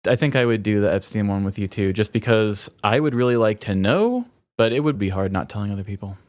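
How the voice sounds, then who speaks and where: A man's voice: lowish voice, expressive, fast, darkish tone, no room sound. An American man co-hosting a podcast in casual comedic banter, with a wry, sardonic, casually profane way of talking. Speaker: podcast co-host.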